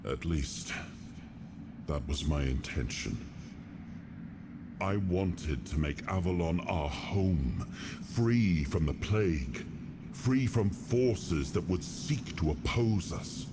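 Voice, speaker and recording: An actor playing a weary, stern and regretful king in a video game, speaking in a measured, solemn way. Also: sits low, expressive, background noise, heavily acted. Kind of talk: dramatic video game cutscene acting. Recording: loud music behind the voice.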